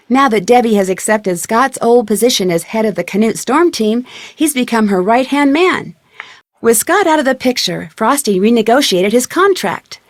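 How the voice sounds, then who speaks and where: An actress playing a composed, plucky young detective with an American accent, narrating in a scripted video game style. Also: female voice, a little high, dry recording, studio quality, close to an everyday voice. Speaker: detective heroine. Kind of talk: scripted game narration, letter read aloud.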